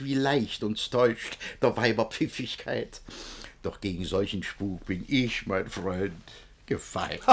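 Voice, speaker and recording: Radio play: cocksure middle-aged bachelor, husky, very animated intonation, theatrical classical verse. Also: male voice, medium pitch, exaggerated voice. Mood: cocky. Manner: self-satisfied, theatrical, declaiming in rhymed verse. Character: smug, boastful bachelor sure he can't be cuckolded.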